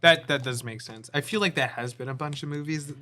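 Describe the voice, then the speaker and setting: A man's voice: on the high side, brisk, pushed and bright. A young man talking casually with friends as a podcast co-host. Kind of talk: casual group chat.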